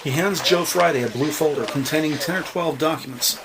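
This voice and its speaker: A man's voice: fairly high pitch, fairly quick, crowd noise. A man narrating a scripted crime audio drama in the present tense.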